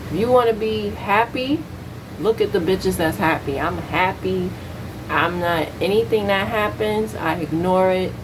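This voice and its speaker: An American woman in her thirties talks in a fairly low voice over clear, steady background noise. She chats casually in slangy language, speaking candidly and giving her opinions freely like a vlogger. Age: 30s. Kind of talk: casual chat to camera.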